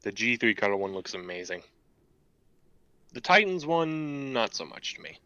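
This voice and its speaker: A man chatting casually while building a model kit, relaxed and joking, thinking aloud with fillers. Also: fairly low pitch, moderate pace, no echo, lo-fi sound. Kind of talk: casual chat.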